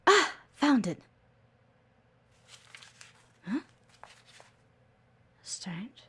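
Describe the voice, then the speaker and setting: A coy, teasing female executive assistant acted by a woman in an audio roleplay, speaking in a high, very animated voice. She is chatty and observant, addressing the listener intimately with a faintly menacing edge under the playfulness. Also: very slow, breathy edge, full character voice. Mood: coy, gossipy. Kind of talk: intimate scripted audio roleplay, one-sided conversation with the listener.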